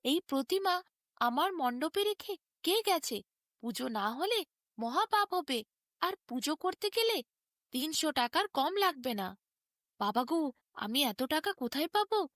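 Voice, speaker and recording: A woman's voice: high voice, very animated, a little slow, pauses often, fairly bright tone, no room sound, studio quality, full character voice. A woman voicing a frugal, devout old grandfather in a storybook, fretful and dramatic in manner. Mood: anxious, fretful. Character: frugal, devout old grandfather, anxious but gentle scholar.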